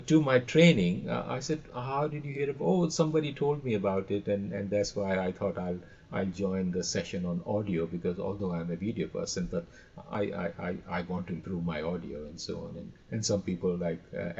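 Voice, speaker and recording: A man's voice: fairly low pitch, vocal fry, darkish tone, breathy edge. A man talking enthusiastically in conversation as a guest, often repeating his phrases and telling anecdotes. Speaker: audio and radio trainer, podcast guest. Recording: dull, lo-fi call audio.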